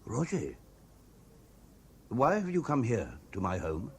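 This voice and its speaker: A British actor playing an anxious, suspicious husband in a radio drama, husky and insistent in his questioning. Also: mid-range voice, wildly swooping pitch, takes it slowly, darkish tone, exaggerated voice. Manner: urgent, probing, insistent.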